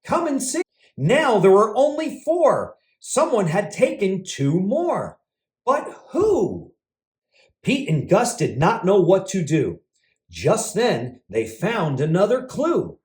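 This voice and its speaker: A man's voice: high-pitched, wildly swooping pitch, slow. A man reading a picture book aloud for children in a theatrical, exaggerated storyteller style. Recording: roomy echo.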